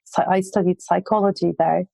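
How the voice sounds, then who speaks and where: A woman with a Turkish accent answers interview questions as a research guest. Her voice is mellow and fairly low, and she speaks hesitantly, with many fillers. The sound is dry and close. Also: little pitch movement, a little fast, creaky, no room sound. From Turkey. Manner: hesitant, frequent fillers, light laughter.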